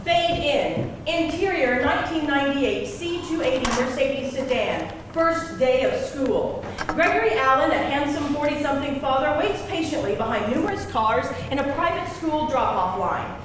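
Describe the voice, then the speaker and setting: A woman's voice: sits high, animated, normal speed. A woman reading stage directions as scripted narration at a live screenplay reading. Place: live event room before an audience. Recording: very echoey room, faint noise.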